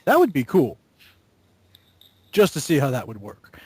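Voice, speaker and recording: A man's voice: mid-range voice, wildly swooping pitch, a little slow, breathy edge, dry recording. A young man chatting casually in a group, explaining things in a somewhat hesitant way. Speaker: member of an online gaming video group.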